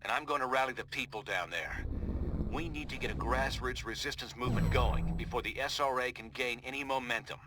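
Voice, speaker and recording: Actor as determined superhero, noisy backdrop. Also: male voice, medium pitch, brisk, natural voice. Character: determined male superhero briefing his team.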